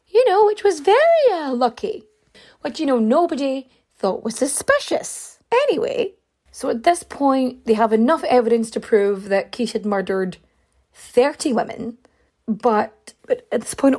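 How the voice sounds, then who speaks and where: A woman host telling a story casually, full of fillers, with swings in pitch and a voice she says is going. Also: mellow. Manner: chatty, many fillers, big pitch swings. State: losing her voice.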